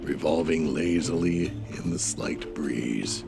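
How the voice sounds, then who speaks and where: Audio drama narrator, male, deep with plenty of fry, measured scripted storytelling. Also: loud music behind the voice.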